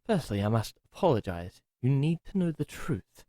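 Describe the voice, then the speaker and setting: A man voicing a gentle, timid masked servant to a cruel duke, speaking softly, formally and with hesitation. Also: mid-range voice, very animated, normal speed, no echo, close to an everyday voice. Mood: confessing. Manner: soft, hesitant, formal and polite. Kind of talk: ASMR roleplay acting.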